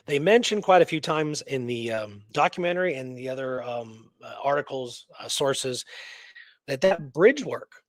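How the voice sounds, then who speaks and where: A man co-hosting a show, casually discussing a historical mystery in a halting, rambling way that repeats words. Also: fairly high pitch, no room sound. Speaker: co-host of a radio history show.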